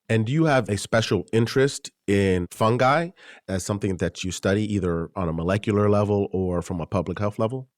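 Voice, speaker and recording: A man hosting a journal podcast, reading a composed introduction and then asking questions in a clear, steady way. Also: on the low side, a little fast, no room sound, clean studio sound. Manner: clear, composed, reads smoothly. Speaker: podcast host, journal web editor. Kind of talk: scripted introduction and interview questions.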